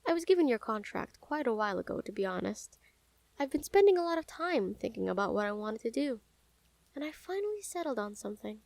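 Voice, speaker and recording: A woman playing a calm, menacing yet smitten assassin in an intimate audio roleplay, speaking softly and teasingly with deliberate pauses. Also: fairly high pitch, gravelly, dry recording. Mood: smitten, confiding. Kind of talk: intimate scripted audio roleplay addressed to the listener.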